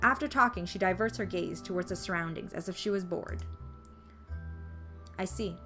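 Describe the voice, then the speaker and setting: Expressive woman narrator over music. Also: on the low side, fast talker. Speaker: visual novel player reading the text aloud. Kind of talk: expressive scripted story narration. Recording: music behind the voice.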